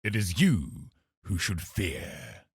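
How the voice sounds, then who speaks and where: Deep, husky, scheming zealot villain. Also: male voice, big pitch swings, no echo, studio quality, full character voice. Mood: cold, defiant. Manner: measured, haughty, calculating, formal. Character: scheming, pious, cold male religious officer villain. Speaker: game boss character. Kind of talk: dramatic video game voice acting.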